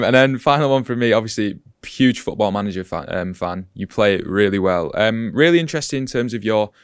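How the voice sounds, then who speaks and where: A man's voice: fairly low pitch, clear, resonant tone, no echo, clean studio sound. A man interviewing a footballer in a chatty, jokey way. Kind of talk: casual sponsored interview.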